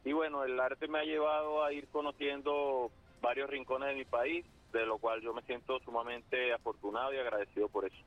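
A man's voice: medium pitch, little pitch movement, a little fast, faint music behind. A man with a Zulian Venezuelan accent, a guest on a phone interview who talks hesitantly with many fillers. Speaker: guest muralist. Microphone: phone line. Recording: muffled phone line.

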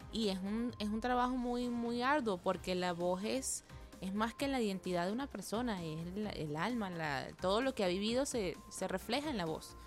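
A woman hosts an interview, speaking in a friendly, encouraging way. Her voice sits at a middling pitch, with faint music behind her. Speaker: host, interviewer. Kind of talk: radio interview.

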